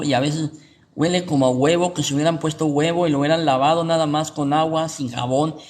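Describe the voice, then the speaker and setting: Higher-voiced Guatemalan man teaching, noisy recording. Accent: Guatemalan Spanish. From Guatemala City, Guatemala. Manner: conversational, checks in with rhetorical questions. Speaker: pastor. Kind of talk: informal Bible teaching to online viewers.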